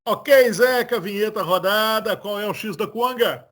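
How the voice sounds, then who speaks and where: A man's voice: high-pitched, unhurried, a bit bright. A man with a Brazilian Portuguese accent hosting a political commentary talk, earnest and argumentative as he builds his points. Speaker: host of political commentary show.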